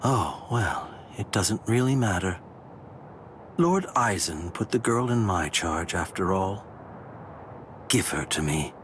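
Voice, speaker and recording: Low, slow, cold anime villain. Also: male voice, very animated, fairly bright tone, a little hiss or hum, full character voice. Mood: cold menace. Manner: aloof, measured, condescending. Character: cold, composed, menacing male villain. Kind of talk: English anime dub acting.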